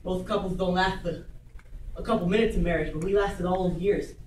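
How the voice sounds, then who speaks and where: A man plays a nervous, earnest husband in mourning, in a dramatic stage monologue. The voice is fairly high and childlike, halting and doubling back on words. The microphone sits far off in a roomy space. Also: steady tone, darkish tone, lightly acted. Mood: anxious, wistful. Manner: hesitant, stammering, repeating words. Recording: roomy, distant microphone, faint noise.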